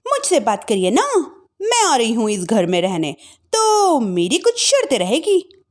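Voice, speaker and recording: A woman voicing a bold, clever, cheeky young sister-in-law in an animated family story. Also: on the high side, unhurried, pitched below the performer's own voice. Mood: bold, demanding. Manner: cheeky, assertive, theatrical.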